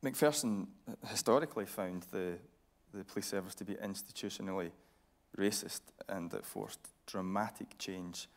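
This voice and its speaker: Low, gravelly-voiced male interviewer. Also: moderate pace. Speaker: interviewer, presenter, reporter. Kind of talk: measured journalistic interviewing. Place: radio theatre stage with an audience.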